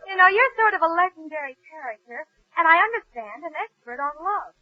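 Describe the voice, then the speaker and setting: A lovestruck young woman in a 1940s radio comedy. Her voice is high and earnest, with a dreamy, naive way of telling things. The vintage broadcast sounds muffled and lo-fi. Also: big pitch swings, a little fast. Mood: coy, hesitant.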